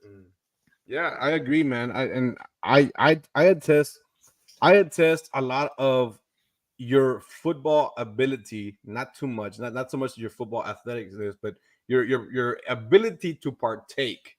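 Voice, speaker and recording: A man's voice: medium pitch, a little slow, no echo. A man with a Rio Grande Valley accent hosting a podcast, loose and joking, swearing casually and slipping in Spanish words. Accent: Texas Rio Grande Valley. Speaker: podcast host, realtor.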